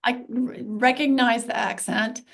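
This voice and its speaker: A middle-aged woman from Texas chats loosely as a podcast host, drifting between thoughts and restarting sentences. Her voice sits a little high, with a gravelly fry running through it. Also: steady tone, unhurried. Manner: rambling, stops and restarts mid-thought. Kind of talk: casual conversation.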